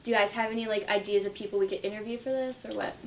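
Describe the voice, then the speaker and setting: A woman's voice: medium pitch, fairly even intonation, rapid-fire, rough recording. A young woman, a student, casually planning interviews with a group of friends. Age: teenager or young adult.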